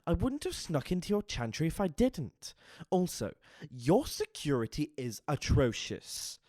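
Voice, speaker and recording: A man's voice: on the high side, big pitch swings, a little slow, darkish tone, dry recording. A man playing a sly, impudent vampire seer in an audio drama, speaking in a florid, mock-courteous, dramatic manner. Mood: mock-wounded, impudent.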